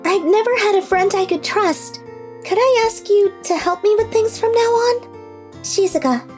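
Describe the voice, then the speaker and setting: A woman's voice: very high voice, normal speed, darkish tone. A woman voicing an earnest teenage girl in a dubbed manga story. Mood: shy, earnest. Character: earnest shy teenage high school girl, later playful young bride. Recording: music under the voice.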